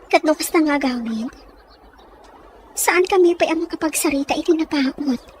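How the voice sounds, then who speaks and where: A woman's voice: sits high, moderate pace, slight background noise, lightly acted. A woman with an Ilocano Filipino accent playing a lovelorn, dutiful young provincial daughter in an audio drama, earnest and plaintive. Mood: wistful. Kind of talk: audio comedy-drama acting.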